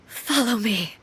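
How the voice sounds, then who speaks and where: A woman playing a solemn, regal immortal warrior goddess in a video game, speaking formally and gravely. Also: a little high, background noise.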